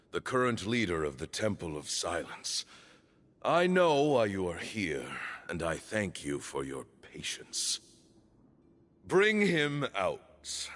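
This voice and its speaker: An elderly leader of a hidden desert order in a game. His voice sits very deep and moves slowly, with dramatic rises and falls. He speaks formally, with stately courtesy and gravity. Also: a bit bright, heavily acted. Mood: stately welcome.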